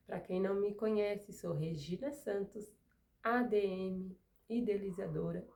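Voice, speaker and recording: A middle-aged Brazilian woman with a mellow, warm voice, still getting over a cold, talks casually to camera from her craft desk. She wanders into chatty asides and rhetorical questions as she works. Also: mid-range voice. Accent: Brazilian Portuguese. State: recovering from a cold. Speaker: craft studio owner and channel host. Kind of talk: casual chat to camera while working.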